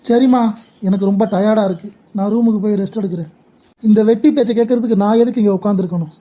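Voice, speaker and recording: A woman's voice: medium pitch, fast, mellow, lightly acted. A woman voicing an envious, evasive middle-aged husband in a cartoon parody, grumbling and whining. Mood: bitter.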